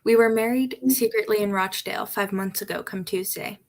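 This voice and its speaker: A woman's voice: medium pitch, steady tone, a little fast, lightly acted. A woman playing a forlorn, defensive young servant girl in a radio drama, with a plaintive manner. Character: Mary, forlorn, defensive young village maid.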